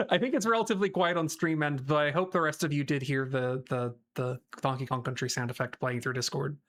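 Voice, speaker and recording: A man's voice: on the high side, normal speed, a bit bright. A man in his thirties chatting casually on a live tabletop game stream, rambling and poking fun at himself. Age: 30s. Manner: rambling, self-mocking. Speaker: player.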